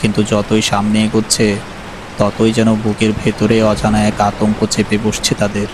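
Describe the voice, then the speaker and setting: A man narrating a horror story in a grave, measured way, over music. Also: on the low side, completely flat delivery, background noise.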